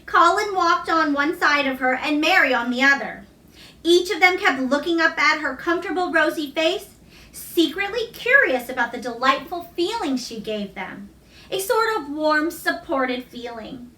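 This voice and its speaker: A woman reading a children's novel aloud as expressive storybook narration. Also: high voice, moderate pace. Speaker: storybook reader. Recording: roomy echo, distant microphone.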